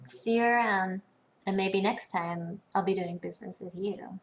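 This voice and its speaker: A woman acting a savvy, poised businesswoman in a video game, speaking in a dry, sardonic, measured way. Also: mid-range voice, fairly even intonation, slight background noise, natural voice, poor audio. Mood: guarded, wry.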